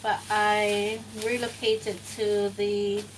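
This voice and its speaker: A woman from Ghana talks slowly through her cooking and thinks aloud as she goes. The camera sits a few steps away, so she sounds distant. Clear kitchen noise runs behind her voice. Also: medium pitch, level tone. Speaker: cooking vlogger. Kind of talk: casual cooking commentary. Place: home kitchen.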